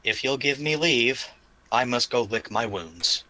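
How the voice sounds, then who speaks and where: A man's voice: medium pitch, animated, measured pace, sharp tone, no room sound, poor audio. A man voicing a proud, honor-bound orc warrior in a tabletop game, speaking formally and boastfully.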